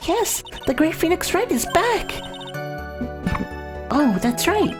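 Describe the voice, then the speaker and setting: An eager young female sidekick from a video game, played by a man, pitched very high. The delivery is earnest, admiring and full of lively swoops. Music plays underneath. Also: slow, heavily acted. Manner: earnest, encouraging. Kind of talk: anime-like video game dub read.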